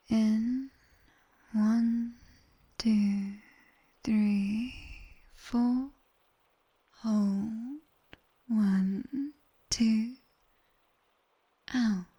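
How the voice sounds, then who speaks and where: A sharp-tongued nightmare demoness tutor with a British accent, played by a woman, whispers in a soft, dark ASMR roleplay. Her delivery is very slow and deliberate, teasing yet commanding. The voice is breathy, with a little creak at its edges, and sits close to a binaural microphone. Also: a little high, exaggerated voice. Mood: calm, instructing.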